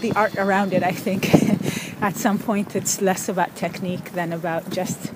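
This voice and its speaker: A woman in her thirties from Ethiopia, telling stories in a casual interview with thoughtful pauses and soft laughs. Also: medium pitch, fairly even intonation, over loud music. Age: 30s. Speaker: interviewee, pickup soccer player.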